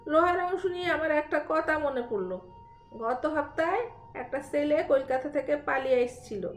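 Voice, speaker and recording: A woman voicing a chatty, animated male boatman telling gossip in an audio story. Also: sits high, moderate pace, roomy sound, natural voice. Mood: chatty, amazed.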